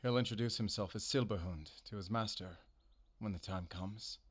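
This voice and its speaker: A weary veteran monster hunter voiced by a man for a cinematic audio drama. His voice sits low and is recorded very dry. He speaks with guarded, earnest restraint, stern but gentle underneath. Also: normal speed, husky, no echo. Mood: calm, reassuring. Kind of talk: cinematic audio roleplay acting.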